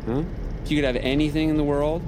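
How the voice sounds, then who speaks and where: A man's voice: a little high, little pitch movement, fast talker, noisy background, close to an everyday voice. A man in an audio drama playing an easygoing, affectionate father, casual and coaxing. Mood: playful, affectionate.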